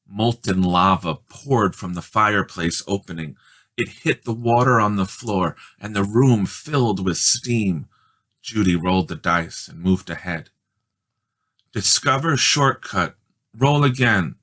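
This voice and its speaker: A man reading a children's storybook aloud as a dramatic, expressive narrator. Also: low-pitched, a little slow. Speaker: storybook reader.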